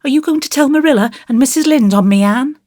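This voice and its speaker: Woman voicing an earnest, high-pitched naughty little boy. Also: fast, a little mellow, no room sound, studio quality, natural voice. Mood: curious, pleading. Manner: pleading, childlike, blurting, colloquial. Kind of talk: audiobook character voice.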